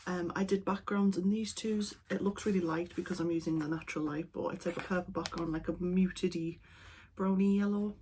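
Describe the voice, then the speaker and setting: A woman chatting casually as an art vlogger at home, talking in a relaxed, rambling way into her camera. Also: fairly low pitch, brisk, a little hiss or hum. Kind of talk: casual vlog chat. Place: at home indoors. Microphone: camera mic.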